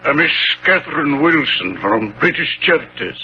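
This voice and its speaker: A man's voice: on the high side, lively intonation, moderate pace, lightly acted. Man playing a formal butler, muffled radio sound. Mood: deferential. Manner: formal, servile. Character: deferential, composed male household butler. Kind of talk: 1970s radio drama acting. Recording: muffled, noisy 1970s radio recording.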